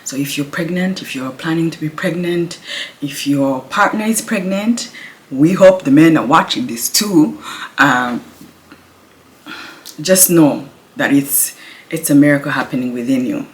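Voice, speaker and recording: Soft-spoken woman. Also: low-pitched, measured pace. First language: Kinyarwanda. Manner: speaks softly, laughs often, restarts words. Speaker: vlogger. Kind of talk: casual chat to camera. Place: home, indoors.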